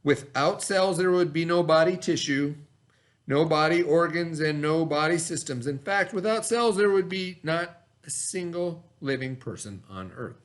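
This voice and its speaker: A man's voice: a little high, lively intonation, unhurried. A man teaching a read-aloud lesson for children, speaking instructively, repeating key words and prompting with questions.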